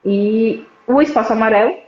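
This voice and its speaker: A woman's voice: fairly high pitch, moderate pace, a little mellow. A young woman from northeastern Brazil, a psychology student, explaining her suicide prevention work in a casual live-stream chat, with frequent "né" tags. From Petrolina, Pernambuco, Brazil. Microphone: video call. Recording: roomy, dull lo-fi live stream audio.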